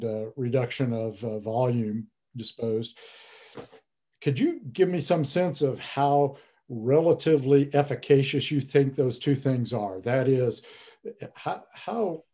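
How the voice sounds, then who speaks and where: Older male moderator, mellow tone, measured probing questions, home study on a video call. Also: mid-range voice. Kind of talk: panel discussion.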